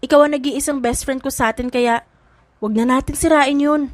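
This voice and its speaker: Woman voicing an expressive, high-voiced caring young woman. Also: normal speed, no room sound, lightly acted. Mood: gentle. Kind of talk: audiobook drama.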